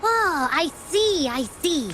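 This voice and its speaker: A woman's voice: very high voice, expressive, slow, a bit bright, a little hiss or hum, exaggerated voice. A woman voicing a bubbly, curious girl companion in a video game, playful and musing aloud. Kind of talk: animated game dialogue. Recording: background music under the voice.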